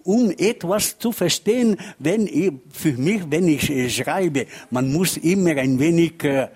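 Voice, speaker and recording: An older man from Ticino with an Italian accent, speaking halting, imperfect German as a guest writer in a panel talk, searching for words. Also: a little high, animated, darkish tone, slightly rough. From Ticino, Switzerland. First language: Ticinese Lombard dialect.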